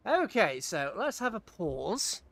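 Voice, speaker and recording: A man's voice: high-pitched, very animated, takes it slowly, gravelly, fairly bright tone, dry recording. A British man casually commentating a strategy game on a livestream, chatting with viewers and muttering rambling asides to himself as he plays.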